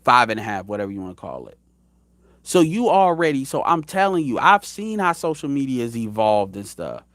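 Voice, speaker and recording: A man's voice: medium pitch, sing-song, a little fast, fairly bright tone, no room sound. Blunt profane man in his thirties. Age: 30s. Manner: blunt, profane, rambling with restarts. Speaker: commentary show host. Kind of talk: casual opinion commentary.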